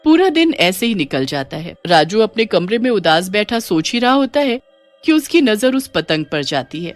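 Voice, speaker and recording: A woman's voice: medium pitch, big pitch swings, normal speed. A woman narrating a scripted children's ghost story as a storyteller. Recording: music behind the voice.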